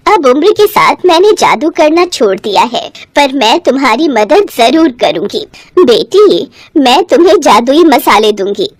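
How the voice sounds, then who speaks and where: A kindly old sorceress from a children's storybook, voiced by a woman narrator. She speaks in a high, gentle voice, giving grateful, careful advice. The sound is dry. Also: no echo.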